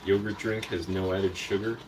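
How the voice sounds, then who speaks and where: A man's voice: low voice, fairly even intonation, normal speed. A man casually chatting on a live stream while preparing food in his kitchen, rambling to himself and dropping in Spanish words. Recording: distant mic with noticeable background noise.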